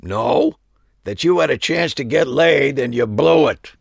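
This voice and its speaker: A man's voice: mid-range voice, lively intonation, a little slow, gravelly, breathy edge, no echo, studio quality, pitched above the performer's own voice, exaggerated voice. A man voicing an exasperated elderly male magic shop owner in an audiobook. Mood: fretful. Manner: exasperated, emphatic.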